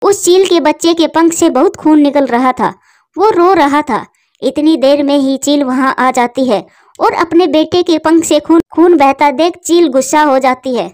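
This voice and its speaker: A woman's voice: sits high, unhurried, a little mellow. A woman reading a scripted children's story as its narrator.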